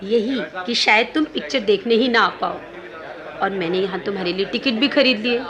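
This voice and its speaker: A woman acting an animated, complaining moviegoer in a radio play. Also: medium pitch, a little fast, close to an everyday voice. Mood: fond. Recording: crowd noise behind.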